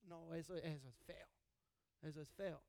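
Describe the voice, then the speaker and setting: A man's voice: fairly high pitch, steady tone, moderate pace, creaky, a little mellow, dry recording, clean studio sound. A middle-aged man from California preaching a sermon in an earnest, conversational way, often restarting his sentences. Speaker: pastor.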